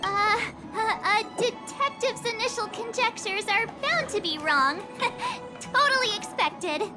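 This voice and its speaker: An actress voicing a bubbly young woman who loves mystery novels, excitable and theatrical, quick to jump to wild theories, in a video game dub. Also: very high-pitched, heavily acted. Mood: excited. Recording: game cutscene with music behind.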